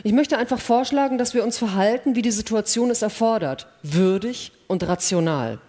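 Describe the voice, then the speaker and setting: A woman's voice: fairly high pitch, moderate pace, dark tone, natural voice. A woman acting a suspicious, accusing, proud female space scientist in a dramatic radio play, speaking with emphatic insistence. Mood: earnest, guarded. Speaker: actor in a radio play reading. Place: large hall, live staged reading. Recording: reverberant stage hall sound.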